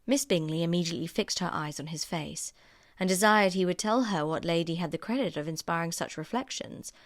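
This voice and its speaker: A woman narrates a classic novel for an audiobook. Her voice sits a little low for a woman, and the recording is very dry, with scripted literary phrasing. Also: a little fast, dry recording.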